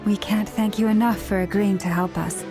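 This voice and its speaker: A woman voicing a serene priestess in a video game, calm, gracious and sincere, gently persuasive as she speaks. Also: mid-range voice, moderate pace, music in the background, lightly acted. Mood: assured, sincere.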